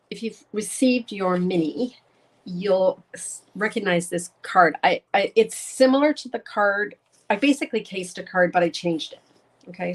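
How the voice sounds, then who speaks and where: A woman's voice: medium pitch, rough recording. A middle-aged woman from Canada with a head cold, hosting a casual live craft demonstration and greeting viewers by name.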